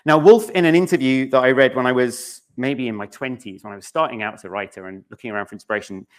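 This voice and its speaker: A man speaks as a presenter at a conference. His voice sits at a medium pitch, and he talks in a conversational way, dropping in wry, offhand asides as he addresses the room. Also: fast. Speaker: conference speaker, game studio narrative director. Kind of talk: public conference talk, conversational. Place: conference room in front of an audience.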